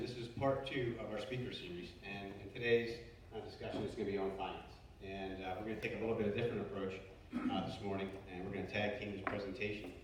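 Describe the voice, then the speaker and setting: Man, 40s, low voice, chatty presenter; distant mic, echoey room. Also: slight background noise. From Baltimore, USA. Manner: chatty with frequent fillers and restarts. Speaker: finance presenter. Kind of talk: casual company presentation.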